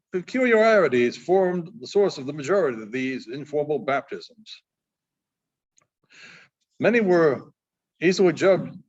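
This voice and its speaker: A man with a notably high voice reads a story aloud in a halting way, often stumbling and going back over phrases. The recording is dull and muffled. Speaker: librarian reading aloud. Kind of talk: reading a short story aloud.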